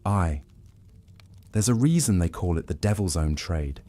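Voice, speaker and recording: A man voicing a grizzled, world-weary old pirate with a rough, raspy voice, telling grim tales in sailor dialect for a story. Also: low voice, lively intonation, a little slow, warm tone. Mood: grim warning.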